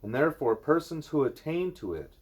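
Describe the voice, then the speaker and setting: A man reading a spiritual letter aloud at home, in a measured, earnest voice with deliberate pauses. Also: fairly high pitch, very animated. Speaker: reader of a spiritual book.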